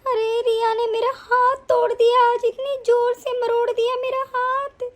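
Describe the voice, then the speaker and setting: A woman's voice: very high voice, expressive, moderate pace. A woman playing a spiteful, scheming, hot-tempered mother-in-law in a family melodrama, scolding and complaining. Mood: fake tearful complaint. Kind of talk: domestic family melodrama acting.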